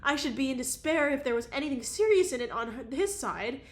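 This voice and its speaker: A woman reading aloud, voicing an emotional young society woman with a high, excitable voice. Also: a little fast. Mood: agitated.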